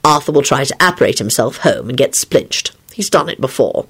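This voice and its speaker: A woman's voice: extremely low pitch, lively intonation, brisk, a bit bright, breathy edge, pitched below the performer's own voice, exaggerated voice. A woman voicing a grouchy, sarcastic, exasperated man with a dry, snarling, resigned manner in a storybook reading. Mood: irritable, resigned.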